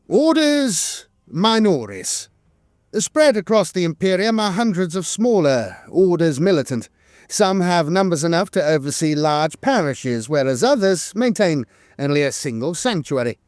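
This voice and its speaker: A man reading scripted lore narration in a measured, formal way, with the occasional hesitation. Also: a little high, darkish tone, no echo, studio quality. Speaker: channel narrator.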